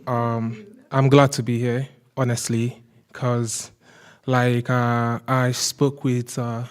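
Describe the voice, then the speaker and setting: A man from Nigeria introduces himself at a seminar in a slow, measured way. His voice is smooth and resonant, with an average pitch. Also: flat delivery.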